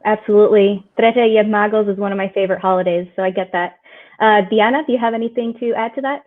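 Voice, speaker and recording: A woman's voice: mid-range voice, steady tone, fast. A woman from Puerto Rico moderating an online panel discussion and asking guests follow-up questions. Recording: tinny phone-like audio.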